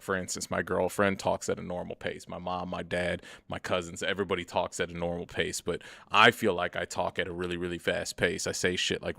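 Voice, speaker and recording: Deep gravelly young man, casual streamer. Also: sharp tone, no room sound. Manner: rambling, profane, chatty, often laughs. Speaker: gaming streamer. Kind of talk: casual gameplay commentary.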